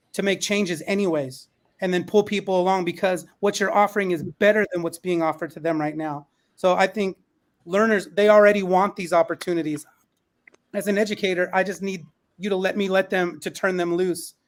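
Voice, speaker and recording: A man with a notably high-pitched voice speaks as an educator on a panel. He is emphatic and opinionated, building his argument through lists and short repeated phrases. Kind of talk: panel discussion, opinion talk.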